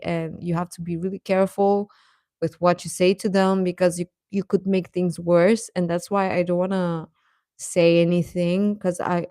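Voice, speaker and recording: A woman's voice: on the low side, a little mellow, dry recording, studio quality. A woman hosting a live stream, chatting casually with viewers in an informal, hesitant, self-deprecating way.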